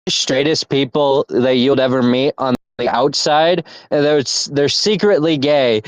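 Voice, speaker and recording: A man's voice: medium pitch, a little slow, clear, resonant tone, no room sound. A young man in casual chat as podcast co-host, rambling with restarts and laughter. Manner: rambling, laughing, self-interrupting. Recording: dull lo-fi mic.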